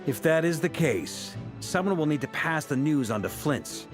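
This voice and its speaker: A man's voice: a little high, animated, moderate pace, music in the background, lightly acted. An actor playing a confident, easygoing male leader in an anime-style game, casual and reassuring. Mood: relaxed, assured.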